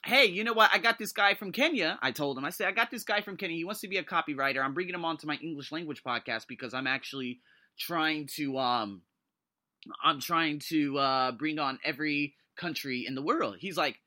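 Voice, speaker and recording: An excitable man in his thirties talks through his own motivational podcast. His voice sits a little high and has a very sharp, bright edge. He stays emphatic throughout and jumps even higher in pitch whenever something thrills him. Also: fairly quick. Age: 30s. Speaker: podcast host. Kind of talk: motivational solo podcast monologue.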